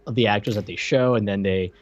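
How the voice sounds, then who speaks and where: A man's voice: lowish voice, quick pace, lo-fi sound. A man co-hosting a podcast in loose, casual, conversational talk. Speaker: podcast co-host. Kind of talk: casual chat about a film.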